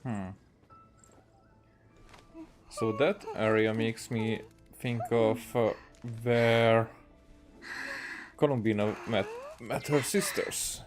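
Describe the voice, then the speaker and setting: A man's voice: lowish voice, steady tone, extremely slow, pauses often, over music. A man with a Hungarian accent casually commentating on a video game, musing aloud in short fragments. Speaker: game streamer.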